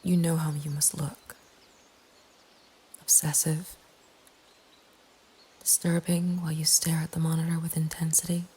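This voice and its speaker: A woman narrating a scary story in a breathy, hushed ASMR whisper, slow and deliberate. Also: low voice, flat delivery. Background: nature ambience.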